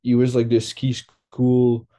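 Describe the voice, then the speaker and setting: A man's voice: medium pitch, monotone, slow pace, dark tone. A young man from Quebec, a guest in a casual interview, talking loosely with lots of fillers. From Quebec, Canada. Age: young adult.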